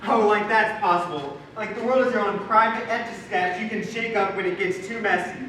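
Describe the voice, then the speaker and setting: A man's voice: sits high, a little fast, recorded from a distance, lightly acted. A man acting a wounded, sarcastic husband in a stage comedy, touchy and sardonic, recorded in an echoey room.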